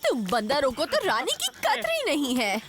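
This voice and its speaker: Bossy vain monkey queen for a cartoon, super high and shrill, swoops everywhere. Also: wildly swooping pitch, moderate pace, very noisy. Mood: haughty, demanding. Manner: haughty, demanding. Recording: loud music behind the voice.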